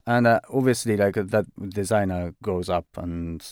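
A man with a low, smooth voice speaks as an interviewed fashion director. He talks in a halting way, leaning on "like" and "uh" and restarting words. The sound is dry and close, with no room echo. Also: a little mellow. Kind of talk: conversational interview.

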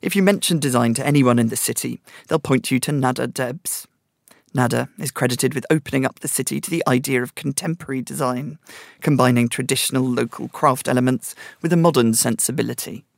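Man, presenter, measured, polished, gravelly, scripted narration. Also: medium pitch, dry recording, clean studio sound. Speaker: interviewer and presenter. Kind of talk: interview questions and scripted narration.

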